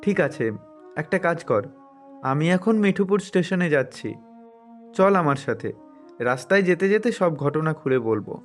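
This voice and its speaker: Man playing an earnest, dreamy young man, higher-voiced. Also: lively intonation, moderate pace, long pauses, close to an everyday voice. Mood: friendly, explaining. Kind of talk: Bengali cartoon moral story dubbing. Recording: music behind the voice.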